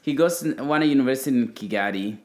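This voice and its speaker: A young man with a Rwandan accent, a guest on a podcast, speaking in an easygoing, halting way. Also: medium pitch, expressive. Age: young adult. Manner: halting, easygoing, non-native grammar. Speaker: podcast guest, college runner. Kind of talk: casual podcast chat.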